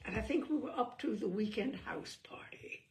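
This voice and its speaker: A woman's voice: a little high, animated, moderate pace, gravelly, a little hiss or hum. A woman giving an arch, theatrical, mock-serious reading of a humorous book. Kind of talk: theatrical reading aloud of comic prose.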